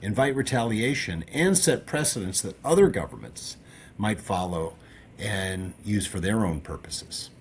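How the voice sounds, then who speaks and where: Un conduttore legge con voce bassa e seria un testo preparato per il podcast. Il tono è costante e composto. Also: male voice, expressive, a little slow, slight background noise. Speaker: podcast host. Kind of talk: scripted narration.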